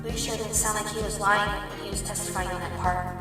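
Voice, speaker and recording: A woman's voice: medium pitch, fairly even intonation, a little fast, roomy sound, distant mic, loud music behind the voice, natural voice. A woman voicing a young female rabbit defense lawyer, earnest, flustered and determined, in an audio drama. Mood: uneasy, wary. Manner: earnest, self-doubting, emphatic.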